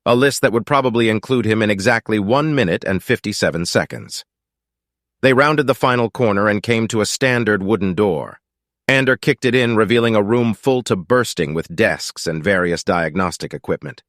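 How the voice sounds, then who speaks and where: A man's voice: lowish voice, moderate pace, a bit bright, no room sound, clean studio sound. A man reading scripted fiction as an audiobook narrator.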